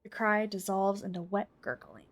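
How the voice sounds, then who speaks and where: A woman reading a visual novel aloud as a game streamer, with expressive, theatrical delivery. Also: medium pitch, normal speed, noisy background. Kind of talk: dramatic narration of a visual novel with casual asides.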